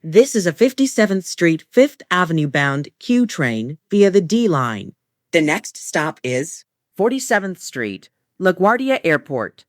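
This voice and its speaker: Low-pitched woman making train announcements. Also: sing-song, no room sound, clean studio sound. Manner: formulaic, measured delivery of short set phrases. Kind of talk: scripted automated transit announcements.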